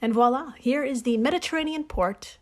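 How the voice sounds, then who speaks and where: A woman's voice: high-pitched, fairly quick. A woman hosting a podcast, telling stories casually to a co-host in an irreverent, jokey way with loose, profane language. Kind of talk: casual comedic storytelling to a co-host.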